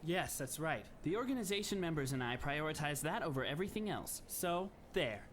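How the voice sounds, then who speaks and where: Anime fandub schoolboy , male actor: slightly high voice, polite, formal, composed, faint noise. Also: big pitch swings, fairly bright tone. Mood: calm, pleased. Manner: courteous, measured, formal.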